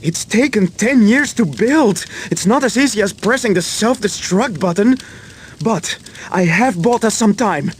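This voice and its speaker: High-voiced remorseful inventor, game cutscene. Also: male voice, lively intonation, a little slow, slight background noise. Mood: defensive. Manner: earnest, apologetic. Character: remorseful male inventor who betrayed his friends. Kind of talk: video game cutscene acting.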